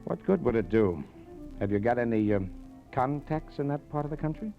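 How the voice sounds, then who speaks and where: An actor with an American accent playing a menacing, terse killer who is coolly polite, in curt, hesitant 1940s radio drama style. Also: male voice, mid-range voice, lively intonation, moderate pace, vocal fry, loud music behind the voice. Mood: cagey. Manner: curt, hesitant, quietly threatening. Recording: dull 1940s radio disc transfer.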